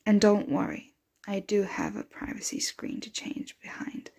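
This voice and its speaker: A woman voicing a possessive, protective, motherly vampire in an intimate ASMR roleplay, breathy and often dropping into a whisper. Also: medium pitch, huge pitch swings, dark tone, exaggerated voice. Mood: calm, reassuring. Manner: slow, intimate, soothing address to a dear one.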